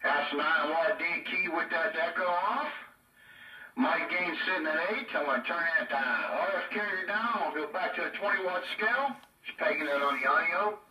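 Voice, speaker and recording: A man's voice: fairly high pitch, normal speed, pushed and bright, far from the mic. A man chatting casually about radio gear in a folksy, colloquial drawl. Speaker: CB radio hobbyist. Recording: echo-effected, roomy sound from off mic.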